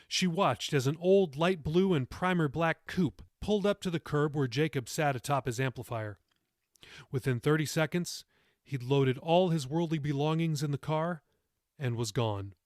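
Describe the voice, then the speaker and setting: A man's voice: on the high side, normal speed, a little mellow, no room sound, studio quality. A man reading his own novel aloud as audiobook narration, with dramatic storytelling delivery.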